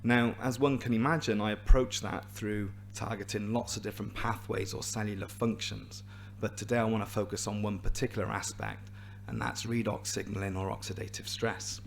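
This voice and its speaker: A man with a hoarse, raspy voice giving a scientific seminar to a live and online audience, explaining clearly with a few restarts. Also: fairly low pitch, fairly even intonation, moderate pace, a little hiss or hum. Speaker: invited seminar speaker, hematologist.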